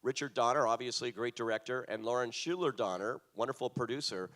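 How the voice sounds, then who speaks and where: Friendly male interviewer, mid-pitched. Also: fast talker, fairly bright tone. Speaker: interviewer, film critic. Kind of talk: on-stage interview questions. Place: conference stage.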